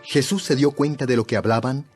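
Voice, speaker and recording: A man's voice: medium pitch, brisk, a little mellow. A man narrating a dramatized audio Bible in a scripted storytelling style. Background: background music.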